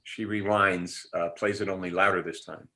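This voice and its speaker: A man's voice: low voice, fairly even intonation, normal speed, pushed and bright. A man reading script stage directions aloud at a table read.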